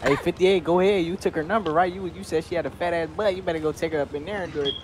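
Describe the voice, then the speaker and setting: Higher-voiced young man, profane slangy gamer banter. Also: steady tone, rapid-fire. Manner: profane, repetitive phrasing. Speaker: streamer. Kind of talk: online game roleplay banter, street slang. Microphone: headset.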